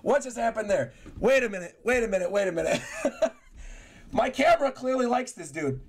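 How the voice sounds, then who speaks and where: A man's voice: high voice, lively intonation, normal speed. A young man giving enthusiastic, chatty live reaction commentary as a streamer, often repeating his phrases. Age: young adult. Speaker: streamer reacting to game trailers. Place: small bedroom, indoors. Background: trailer music.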